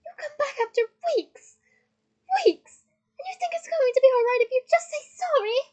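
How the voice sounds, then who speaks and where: A woman voicing a furious, shrill teenage witch who screeches and punches out her words, in an audiobook-style story reading. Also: normal speed, darkish tone. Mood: scornful, furious.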